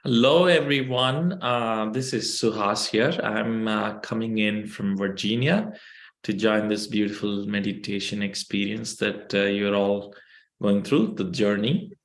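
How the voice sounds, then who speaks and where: A man's voice: on the low side, fairly even intonation, a little slow. A middle-aged man with an Indian accent, a meditation teacher introducing himself to an online group. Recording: echoey room over video call.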